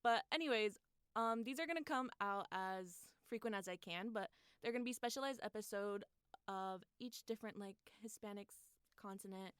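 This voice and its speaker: Podcast host, female, around 20. Smooth voice, dry close recording, informal interviewing style. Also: mid-range voice, normal speed, fairly bright tone, dry recording. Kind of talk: casual chat, interviewing.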